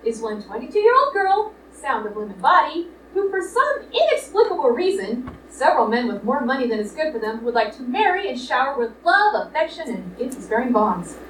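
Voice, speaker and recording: A woman's voice: sits high, sing-song, moderate pace, hardly any pauses. A woman playing a defiant, sarcastic society girl of twenty-two in an old-time radio play, theatrical and sardonic. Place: live radio play performance in a roomy space. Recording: echoey room, far mics, faint noise.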